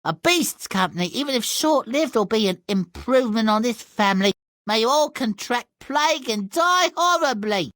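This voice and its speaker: A bitter, contemptuous young heroine from a dark comic game, acted by a man. The voice is high-pitched and dry in sound. The delivery is deadpan, articulate and sardonic. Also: no room sound. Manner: deadpan, acid, articulate insults. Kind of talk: dark comic video game cutscene acting.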